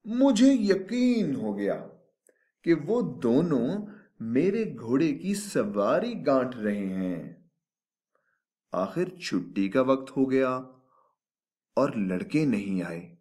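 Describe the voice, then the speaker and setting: A man narrating a children's story expressively, with deliberate, dramatic phrasing and drawn-out emphasis. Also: medium pitch, sing-song, mellow.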